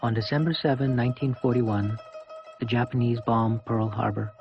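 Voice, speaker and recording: A man narrating a historical documentary from a script in a formal, measured way. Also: mid-range voice, steady tone, creaky, dull audio, music in the background.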